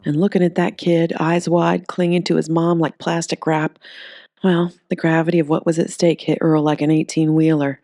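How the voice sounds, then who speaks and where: A woman's voice: deep voice, little pitch movement, moderate pace, dark tone, dry recording. Woman narrating a story, dry close sound. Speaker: audiobook narrator and author reading aloud. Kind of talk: expressive scripted story narration.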